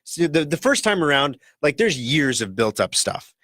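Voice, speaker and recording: A man hosting a casual talk radio show in a rambling, joking way, his voice rough and scratchy from a cold. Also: fairly high pitch, very animated, moderate pace, fairly bright tone, no room sound, studio quality.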